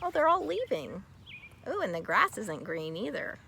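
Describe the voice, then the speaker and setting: A woman's voice: medium pitch, sing-song, normal speed, gravelly, background noise. A woman voicing a small earnest earthworm in a children's storybook, questioning and plaintive. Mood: plaintive, forlorn. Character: small earnest bewildered earthworm, hardworking and searching.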